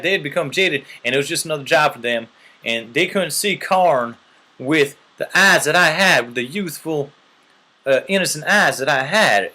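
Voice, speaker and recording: A man's voice: on the high side, bright, forceful tone. A man telling a long mock-serious story in an earnest, rambling way, often checking in with "right?".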